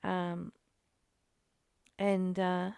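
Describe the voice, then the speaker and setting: A woman's voice: fairly low pitch, monotone, extremely slow, creaky, darkish tone, clean tone, no room sound, clean studio sound. A woman reading aloud and reflecting in casual discussion, in a musing, questioning and conversational manner. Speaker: study group co-host, reader.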